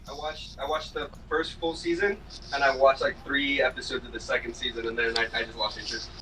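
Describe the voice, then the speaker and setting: A man's voice: mid-range voice, flat delivery, a little fast, bright, forceful tone. A man in a casual, playful chat among gamers, rambling and trailing off. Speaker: player. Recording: distant mic with faint hiss.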